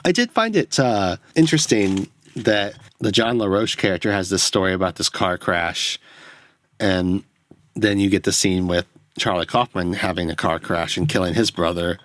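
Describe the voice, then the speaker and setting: A man's voice: low voice, normal speed, fairly bright tone, no echo. A man hosting a solo film podcast, rambling and self-deprecating, swearing as he analyses a movie into a close microphone. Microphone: close studio microphone.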